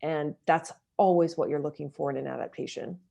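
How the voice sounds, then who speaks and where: A woman's voice: low voice, steady tone, a little fast, creaky, mellow. A woman talking conversationally in an interview as a director and actor, thoughtful and emphatic in how she makes her points. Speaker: audio drama director and actor being interviewed.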